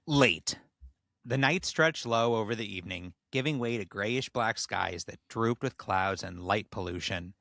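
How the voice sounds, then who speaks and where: A man's voice: fairly low pitch, unhurried, vocal fry, sharp tone, dry recording. A man hosting a comedy podcast, reading a story aloud in a mock-solemn tone and breaking into casual banter.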